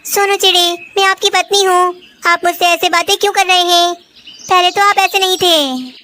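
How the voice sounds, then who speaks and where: A gentle young wife sparrow from a children's cartoon, acted by a woman, speaks in a very high, bright voice. She is polite, anxious and pleading. Birdsong and noise sit behind her. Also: fairly even intonation, normal speed. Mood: hurt, pleading. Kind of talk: Hindi children's cartoon dialogue.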